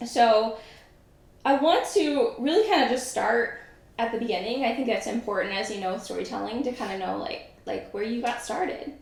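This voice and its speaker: A woman hosting a podcast interview, speaking in an effusive, halting way with fillers like "you know". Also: fairly high pitch, vocal fry. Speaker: podcast host. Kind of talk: casual interview chat. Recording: very echoey room.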